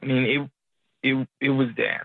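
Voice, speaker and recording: A man's voice: mid-range voice, little pitch movement, moderate pace, creaky, no room sound. A man calling a radio show by phone, talking casually and earnestly in an admonishing way. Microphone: phone call. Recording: phone line.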